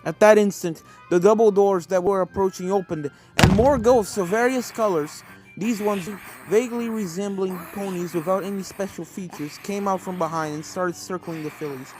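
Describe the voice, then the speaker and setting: A woman's voice: medium pitch, moderate pace, a little mellow, poor audio. A woman reading a story aloud as scripted narration, with music playing behind her. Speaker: fan fiction reader.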